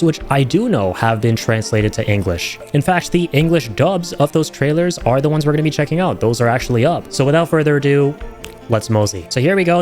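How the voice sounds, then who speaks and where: A man hosting reaction videos, speaking in an excited, chatty, rambling way to his viewers. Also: medium pitch. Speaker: streamer and video host reacting to game trailers. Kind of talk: excited casual commentary to viewers.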